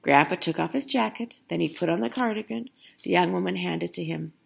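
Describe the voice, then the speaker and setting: A woman reading a children's picture book aloud in an expressive storytelling style. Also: on the low side, brisk, gravelly, slightly rough.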